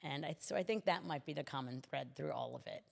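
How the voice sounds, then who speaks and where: A woman's voice: low-pitched, brisk, creaky, in a large hall. A middle-aged American woman speaking conversationally as a guest in a podcast interview. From United States. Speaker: interview guest, city commissioner.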